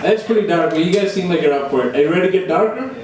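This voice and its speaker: A young man performing live stand-up comedy with a deadpan delivery, recorded in a room. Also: high-pitched, racing, crowd noise. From immigrated to Australia at age eleven. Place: indoor comedy room before a live audience. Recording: echoey, noisy room recorded from far off.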